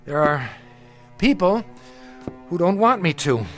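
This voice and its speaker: An actor in a radio drama playing a haunted, sleepless patient who talks in a halting, edgy, confiding way, afraid of his own imagination. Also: sits high, sing-song, music in the background, full character voice. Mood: guarded, troubled. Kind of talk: audio drama acting.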